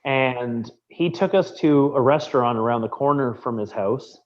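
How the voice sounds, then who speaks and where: A man co-hosting a casual live-stream chat, talking in a relaxed, rambling way with lots of fillers and restarts. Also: medium pitch, normal speed, dull audio. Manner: rambling and relaxed, with many fillers and self-corrections. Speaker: co-host of a knife shop Q&A stream.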